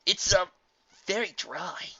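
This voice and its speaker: A man voicing a blunt, excitable young female pony in a storybook reading, given to exclamations. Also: high-pitched, slow pace, breathy edge, highs cut off, voice pushed up, exaggerated voice. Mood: uneasy.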